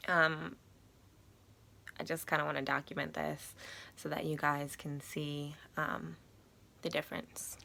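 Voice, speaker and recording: A young woman vlogging about her beauty routine in a casual, chatty way, with a raspy voice and lots of fillers. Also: sits low, a little slow, pauses often, a little hiss or hum. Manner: chatty, relaxed and informal, with many fillers. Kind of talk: casual chat to camera.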